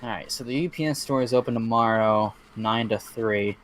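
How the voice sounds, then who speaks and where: A man's voice: medium pitch, normal speed, fairly bright tone, slight background noise. Easygoing man rambling during a game. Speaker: gamer playing with a friend. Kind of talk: casual chat while gaming.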